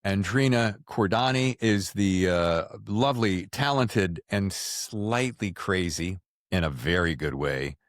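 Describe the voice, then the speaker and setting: A man with a fairly low voice hosts a casual interview podcast. He talks conversationally and tells stories in an animated way, playing out little quotes as he goes. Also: slow pace, no echo. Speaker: podcast host.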